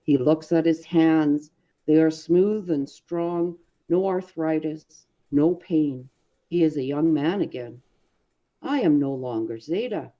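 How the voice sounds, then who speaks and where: A woman reading a story aloud as an audiobook, with expressive, dramatic and deliberate phrasing. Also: low-pitched, lo-fi sound. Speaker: audiobook reader. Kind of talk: expressive scripted story narration.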